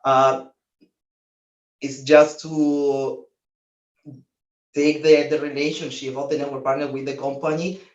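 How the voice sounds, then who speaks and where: A man's voice: medium pitch, little pitch movement, slow pace, pauses often. A young man from Chile, speaking hesitantly as an alumnus guest over a video call from an office. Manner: hesitant, searching for words. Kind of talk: casual remote testimonial. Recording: roomy, distant, dull video-call audio.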